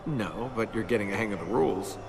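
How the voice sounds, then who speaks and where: A man's voice: fairly low pitch, animated, fast talker, low-quality recording. A man playing a cocky young adventurer and thief in a video game, sarcastic and teasing in conversational banter. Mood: playful. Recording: loud music behind the voice.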